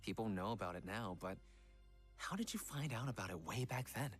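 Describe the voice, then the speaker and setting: A man's voice: lowish voice, lively intonation, fairly quick, natural voice. Man playing earnest young male idol, music behind. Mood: curious. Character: gentle young male Korean pop idol, devoted fiancé. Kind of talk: visual novel voice acting.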